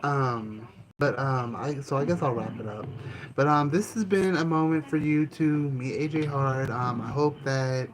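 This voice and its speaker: A man hosting his own channel, answering questions about himself in a calm, easygoing way, with many ums and restarts. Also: medium pitch, unhurried, a crowd in the background, rough recording. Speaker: channel host and author. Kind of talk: casual self-interview answering questions. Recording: noticeable background ambience noise.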